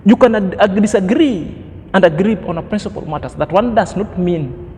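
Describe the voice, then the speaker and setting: Man, 30s, high-pitched vlogger with a preachy, emphatic delivery and lofty vocabulary, recorded in a reverberant room with a low rumble. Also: expressive, moderate pace, a little mellow. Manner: preachy, emphatic, fond of grand words. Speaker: commentary vlogger. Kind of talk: opinion commentary monologue.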